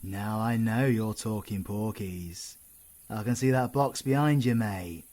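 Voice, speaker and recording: A man's voice: lowish voice, a little slow, darkish tone, close to an everyday voice. A British man playing a cocky, sarcastic, menacing supervillain in an audio roleplay. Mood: cocky, mocking. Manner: sarcastic, theatrical, foul-mouthed British slang. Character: cocky, taunting supervillain, flippant yet menacing killer. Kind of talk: ASMR audio roleplay acting.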